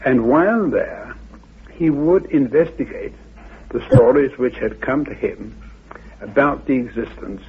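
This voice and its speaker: A man giving an explanatory historical account as an expert, in measured, erudite phrasing. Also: medium pitch, breathy edge. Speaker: expert on Atlantis. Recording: narrow phone-like old radio audio.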